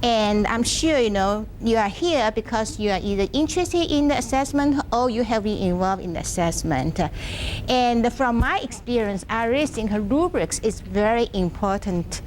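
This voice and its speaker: A soft-spoken woman presenting a university workshop, speaking haltingly with restarts, seated in a classroom without a microphone. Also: medium pitch, slight background noise. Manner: halting, frequent restarts and fillers. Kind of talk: academic workshop lecture. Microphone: no microphone, room sound.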